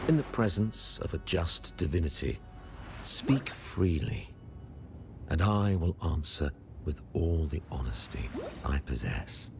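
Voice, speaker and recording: A man playing a stately older high priest in a fantasy video game, speaking in grave, formal, measured oratory. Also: lively intonation, creaky, over music, full character voice. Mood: solemn, reassuring. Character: solemn, stately high priest of a religious order. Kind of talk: theatrical fantasy game dialogue.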